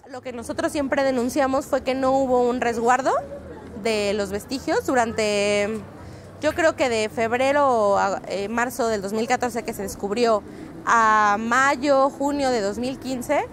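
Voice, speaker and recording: High-voiced Mexican woman reporter outdoors. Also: a little hiss or hum. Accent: Mexican Spanish. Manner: fluent and explanatory, with frequent "eh" fillers. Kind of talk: street interview. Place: outdoors on a busy city street. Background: faint street ambience.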